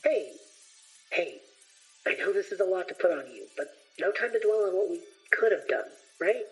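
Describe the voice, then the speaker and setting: A woman's voice: lowish voice, expressive, moderate pace, breathy edge, faint music behind, poor audio. A young woman playing a cheeky, easygoing, adventurous male student in a fantasy audio drama, with a breezy, quippy delivery. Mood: excited, reassuring.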